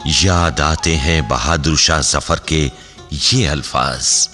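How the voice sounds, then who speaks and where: A man's voice: a bass voice, slow pace, sharp tone, in a large hall, faint music behind, full character voice. A man playing a grave, poetic narrator in a historical radio drama, with a solemn, theatrical delivery. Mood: grave, stirring.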